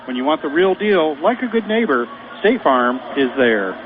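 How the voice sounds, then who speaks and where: A man commentating on local radio sounds easygoing and conversational. His voice sits a bit high. It comes through a narrow, phone-like line with plain noise behind it. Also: sing-song, moderate pace. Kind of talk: ad reading and pregame banter.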